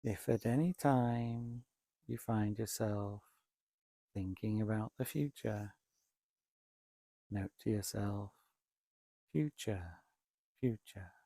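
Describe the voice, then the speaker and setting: Slow, gravelly man guiding meditation. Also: fairly low pitch, dry recording. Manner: calm, deliberate, with long pauses between short phrases. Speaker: meditation teacher, Buddhist monk. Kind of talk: guided meditation instruction.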